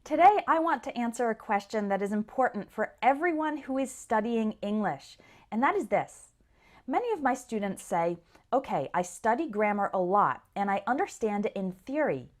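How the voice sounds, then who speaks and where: Animated, high-voiced woman teaching. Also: normal speed. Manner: clear, explanatory, addresses learners directly. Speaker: English teacher. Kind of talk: instructional lesson to camera or listeners.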